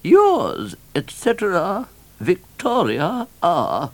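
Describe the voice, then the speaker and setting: A haughty queen, acted by a man, reads out her displeasure in a very high voice that swoops about. The delivery is pompous and formal, in the manner of a storybook monarch. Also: huge pitch swings, extremely slow, full character voice. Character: Queen Victoria, imperious displeased royal woman writing a letter.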